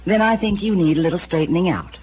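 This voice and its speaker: An actress playing a guarded young widow in a 1950s radio drama, coolly defiant and evasive. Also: female voice, fairly low pitch, quick pace, lightly acted. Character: cool, guarded young widow of a police chief. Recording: tinny old radio broadcast with faint hiss.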